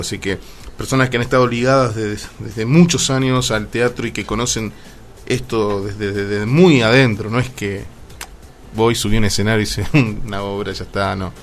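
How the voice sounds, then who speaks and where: Low-voiced Argentine radio host, noisy background. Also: male voice. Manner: rambling, halting, repeats words. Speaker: radio host interviewing guests. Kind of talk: casual radio interview. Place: radio show with guests.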